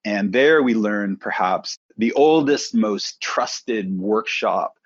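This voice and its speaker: A middle-aged man from Canada, a presenter coach telling his career story in a relaxed, thoughtful interview. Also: on the low side, slow, dry recording, dull audio.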